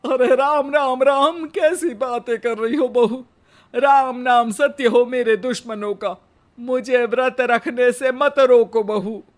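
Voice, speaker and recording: A man acts out an old henpecked husband in a comic cartoon tale. His voice is very high for a man, and he talks slowly. He is wry and sarcastic, forever needling with mock pleading. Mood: offended. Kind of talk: comic animated moral story acting.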